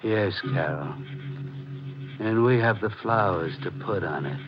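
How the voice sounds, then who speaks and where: Big unstable killer, played by a male actor, wounded and halting, vintage radio thriller, muffled noisy recording. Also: lowish voice, lightly acted. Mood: quietly menacing. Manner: halting, repetitive, childlike phrasing. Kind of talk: 1940s radio drama acting.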